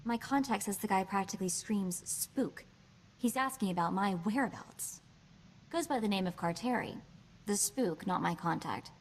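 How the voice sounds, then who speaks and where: A woman's voice: medium pitch, animated, normal speed, slight background noise, lightly acted. A woman voicing a plucky, street-smart young slicer in a video game, wry and breezy, with clipped slangy talk.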